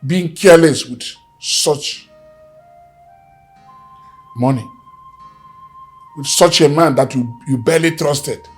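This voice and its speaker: Man playing a stern boss, husky, slow, demanding, soft music behind. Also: a little high. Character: angry boss scolding his staff. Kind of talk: comic skit acting.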